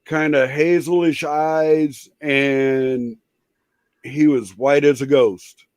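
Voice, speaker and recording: Slow, rambling man telling stories. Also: on the high side, dry recording. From Southern California. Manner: rambling, relaxed storyteller. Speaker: guest in a stream chat. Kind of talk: casual storytelling chat.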